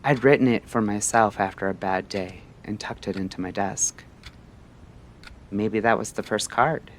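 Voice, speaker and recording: A man narrating a scripted story like a late-night radio host, in a measured, theatrical way with short phrases and pauses. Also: fairly low pitch, steady tone, a little hiss or hum.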